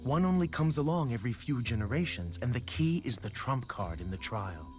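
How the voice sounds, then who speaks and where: An actor playing a smug, scheming corporate director in a video game, polite yet condescending and insinuating. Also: male voice, medium pitch, animated, a little fast, natural voice. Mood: smug, explanatory. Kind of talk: video game cutscene acting. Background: background music.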